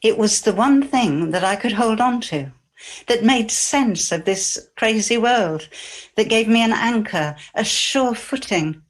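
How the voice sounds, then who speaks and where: A woman playing a grieving, devoted biblical woman, tender and anguished, in a halting, emotional dramatic monologue for church. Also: a little high, lively intonation. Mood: aching, protective.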